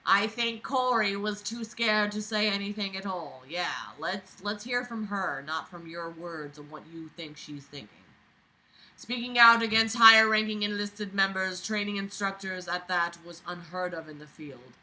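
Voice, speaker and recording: A woman with a very bright, forceful voice reads a book aloud and picks it apart as she goes. She is sarcastic and openly exasperated, swearing freely and breaking off into theatrical, scornful asides. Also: medium pitch, moderate pace, low-quality recording. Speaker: reader reviewing a book aloud. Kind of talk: reading a book aloud with exasperated running commentary.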